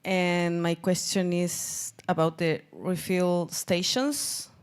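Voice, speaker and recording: A woman's voice: lowish voice, level tone, slow pace, smooth. A woman from Chile with a Chilean Spanish accent, asking an unscripted question from the audience.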